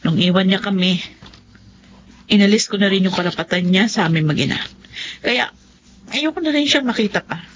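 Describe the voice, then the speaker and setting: A woman's voice: mid-range voice, moderate pace, pauses often, close to an everyday voice. A woman playing a resigned, embittered mother abandoned by her husband, in a radio drama. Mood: resigned, bitter. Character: bitter abandoned mother losing her sight. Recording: muffled, faintly noisy radio recording.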